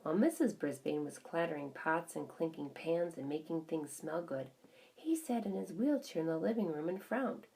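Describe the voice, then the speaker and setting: A woman's voice: low-pitched, lively intonation, moderate pace, creaky, mellow, low-quality recording. A woman voicing a small, observant pet hamster who tells a children's story in chatty asides. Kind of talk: first-person children's storybook narration.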